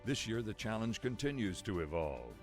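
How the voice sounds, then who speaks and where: Deep-ish guy doing the broadcast voice-over, formal and measured, music bed under it. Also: very animated. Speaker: announcer. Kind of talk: scripted broadcast narration.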